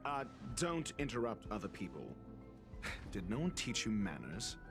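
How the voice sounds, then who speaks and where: A man's voice: lowish voice, big pitch swings, unhurried, music in the background. An actor playing an arrogant, impatient male scholar in a video game, questioning with condescension and sarcasm. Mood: curtly irritated. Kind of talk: scripted video game voice acting.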